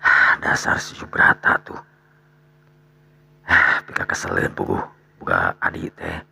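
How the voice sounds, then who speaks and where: A man voicing a resigned, secretive older father in a radio drama, muttering and sighing in a gravelly, breathy voice. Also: very deep voice, animated, unhurried, bright, forceful tone, voice pushed down, heavily acted. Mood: grumbling. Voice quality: gravelly, breathy, dropping to near whisper. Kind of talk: radio storytelling drama dialogue.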